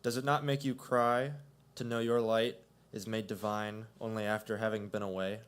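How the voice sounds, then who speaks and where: A man reading his own poems aloud at a coffee shop open mic, with a measured delivery that swells. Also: medium pitch, clear, resonant tone. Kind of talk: poetry reading.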